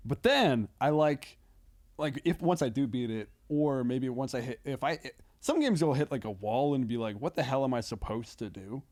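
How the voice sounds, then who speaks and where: A man's voice: medium pitch, expressive, normal speed, a little mellow. A man chatting casually as a podcast guest, thinking aloud in a rambling, loosely sweary way.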